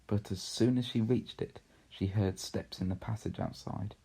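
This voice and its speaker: A man's voice: fairly low pitch, fairly even intonation, normal speed, vocal fry, warm tone, breathy edge. A man narrating a children's storybook. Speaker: story reader.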